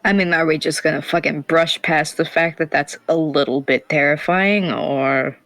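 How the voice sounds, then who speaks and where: Blunt gravelly man, swearing freely. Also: on the high side, fairly even intonation, moderate pace, fairly bright tone, dry recording. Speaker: player. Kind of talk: casual chat.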